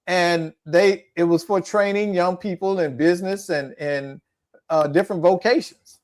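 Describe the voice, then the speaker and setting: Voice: mid-range voice, steady tone, normal speed, a little mellow. A co-host on a talk show chatting casually and cutting in with comments. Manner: chatty, laughs and interjects. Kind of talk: casual chat.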